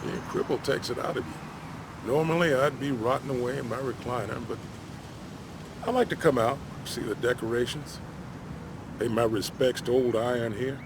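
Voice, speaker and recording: A man's voice: medium pitch, normal speed, noisy background, lightly acted. Man playing a wry small-town ex-miner, American. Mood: weary, wistful. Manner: blunt, folksy, wry. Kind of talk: naturalistic video game acting.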